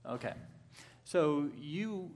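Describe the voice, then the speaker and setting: A man hosting a casual interview at a church gathering, asking relaxed questions. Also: fairly high pitch, very slow, vocal fry, long reverb tail. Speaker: church host. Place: church hall.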